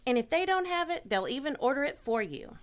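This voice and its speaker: Lively woman reading a radio ad. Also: high-pitched, fairly quick. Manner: cheerful, persuasive. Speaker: ad performer. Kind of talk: scripted radio ad.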